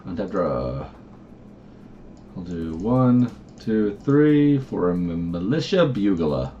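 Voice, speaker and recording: A man with a Boston accent playing a card game, laid-back and counting his cards out loud. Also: low voice, very animated, slow, a little hiss or hum. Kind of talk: casual game-table chat. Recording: dull lo-fi audio.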